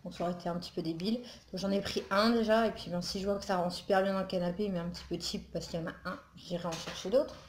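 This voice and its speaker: A woman's voice: lowish voice, steady tone, fast talker, reverberant, noisy background. A woman from northern France chatting casually through a holiday shopping haul, rambling with lots of fillers and asides, recorded at home. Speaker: vlogger. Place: home room with Christmas tree behind.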